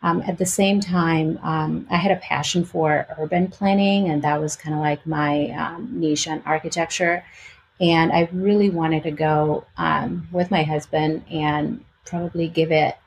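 A woman's voice: low voice, fairly even intonation, measured pace, a little hiss or hum, low-quality recording. A woman in her forties with a slight Albanian-Greek accent, casually telling personal stories as an interview guest. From Albania and Greece.

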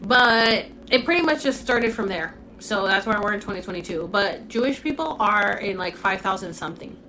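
A young woman from Puerto Rico, raised in Florida, chatting bluntly into her phone on a casual livestream. Her voice sits at a middle pitch and she swears freely, peppering her talk with "like." A faint noise runs under the recording. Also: animated, moderate pace, sharp tone. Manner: blunt and candid, swears freely, many "like" fillers. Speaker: livestream host. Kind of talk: casual livestream chat answering comments.

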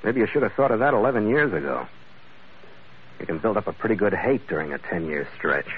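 An actor playing a tough, wry sailor and bodyguard in an old radio drama, terse and matter-of-fact. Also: male voice, lowish voice, animated, fairly quick, husky. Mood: wry, curt. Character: tough, wry Irish-American sailor hired as bodyguard, narrating. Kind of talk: 1940s-50s radio drama acting, hard-boiled narration. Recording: dull vintage radio recording.

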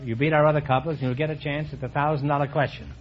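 A man hosting a quiz show, cracking quick puns and teasing his contestants. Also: a little high, fairly even intonation. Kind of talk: joking quiz show banter. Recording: dull old radio broadcast with hiss.

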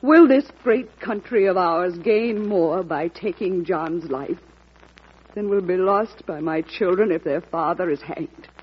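A woman's voice: lowish voice, measured pace, breathy edge, highs cut off. An actress playing a dignified wife pleading for her condemned husband's life in an old radio drama. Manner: earnest, pleading, restrained. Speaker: petitioner's wife. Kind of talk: 1950s radio drama acting.

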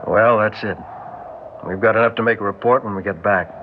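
An actor playing an authoritative alien expedition commander in an old-time radio drama, giving clipped prompts and orders. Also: male voice, fairly low pitch, fairly even intonation, brisk, close to an everyday voice. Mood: commanding. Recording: dull, noisy vintage radio recording.

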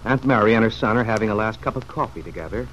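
A man with a lowish voice narrates an old radio serial. His delivery is formal and measured, in 1940s style. The recording is muffled, with faint noise. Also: fairly bright tone. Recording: dull, noisy vintage radio recording.

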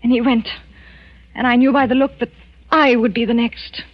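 An actress playing a frightened, tense wife in a 1940s radio suspense drama, speaking in a halting, dramatic way. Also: female voice, a little high, fairly even intonation, close to an everyday voice. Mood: tense, grave. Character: frightened, tense wife of a murderous doctor in wartime France. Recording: dull old 1940s radio recording with music.

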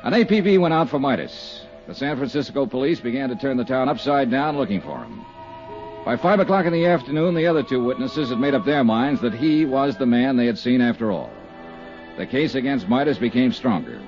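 A man's voice: mid-range voice, lively intonation, fairly quick, darkish tone, faint music behind, lightly acted. An actor playing a hard-boiled male insurance investigator in a 1950s radio drama, narrating in clipped, dry first person. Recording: dull lo-fi old radio broadcast.

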